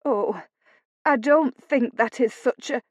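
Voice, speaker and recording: Woman acting a fretful, sharp-tongued housekeeper. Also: mid-range voice, very animated, normal speed, dry recording. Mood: feeble, fretful. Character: anxious, flustered older woman housekeeper, bossy under strain.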